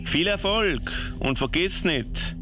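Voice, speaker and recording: A helpful but weary guide, played by a man in an amateur radio play. He speaks slowly with a Swiss German accent, over clearly audible music. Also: high-pitched, expressive.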